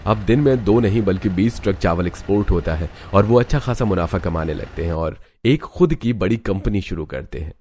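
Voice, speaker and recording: A man's voice: low-pitched, animated, brisk, hardly any pauses, warm tone, slight background noise. A man narrating a scripted cartoon story.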